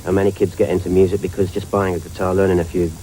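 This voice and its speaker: Low-voiced director from north London. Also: male voice, flat delivery, racing, warm tone, a little hiss or hum, rough recording. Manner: reflective, rambling with restarts. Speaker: film director. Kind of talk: interview answers.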